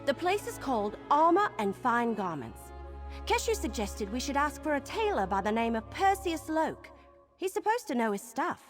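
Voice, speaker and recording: An actress playing a brisk, determined adventurer companion in a video game, purposeful and friendly as she gives directions. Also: sits high, very animated, faint music behind.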